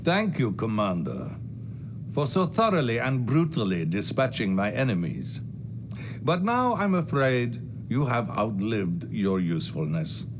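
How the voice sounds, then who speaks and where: An actor playing a ruthless, falsely friendly Soviet premier in a video game, with a Russian accent and a pompous, declamatory delivery. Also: male voice, medium pitch, very animated, measured pace, background noise. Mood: cold, gloating. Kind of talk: theatrical video game cutscene acting.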